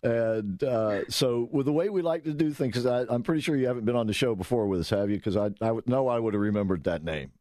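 A man's voice: mid-range voice, fast talker, hardly any pauses, warm tone, no room sound. Easygoing man hosting, dry close sound. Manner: easygoing, conversational. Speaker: radio show host. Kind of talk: hosting an interview.

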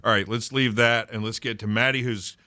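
A man's voice: mid-range voice, steady tone, fairly quick, sharp tone, dry recording. A man hosting a morning radio show, chatting casually and thoughtfully with his co-hosts.

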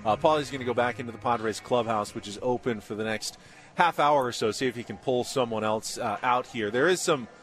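A man's voice: mid-range voice, fairly quick, a bit bright, faint music behind. A man hosting casual live sports talk radio on location. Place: on location at a spring training complex.

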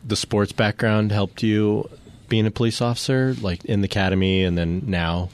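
Low-voiced American male radio host. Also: fairly even intonation, moderate pace, clear, resonant tone. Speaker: police sergeant hosting a radio show. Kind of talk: casual radio interview.

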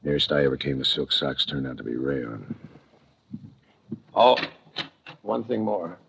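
Deep-voiced, terse hard-boiled homicide cop. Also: male voice, big pitch swings, measured pace, vocal fry, exaggerated voice. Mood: cool, terse. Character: tough, laconic homicide police lieutenant, adult man. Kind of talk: 1950s radio crime drama acting, with hard-boiled narration. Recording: noisy old 1950 radio recording.

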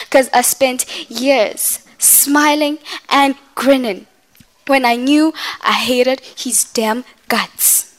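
A woman's voice: sits high, a bit bright. A young woman performing a dramatic monologue as a wronged, defiant mother venting to her grown children. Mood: defiant, resolute.

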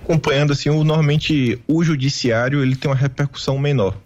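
A man from Bahia with a Bahian Brazilian accent, a remote guest giving animated, casual political commentary. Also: on the high side, fast, highs cut off, slight background noise. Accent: Bahian Brazilian Portuguese. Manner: animated, mimics others' voices in quotes.

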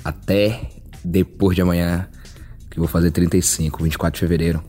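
Relaxed low-voiced man talking openly. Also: a little fast, soft background music. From Brazil, Salvador. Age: 30s. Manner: open, conversational, with frequent "sabe?" asides. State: a bit nervous. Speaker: reality show contestant. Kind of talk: casual podcast monologue.

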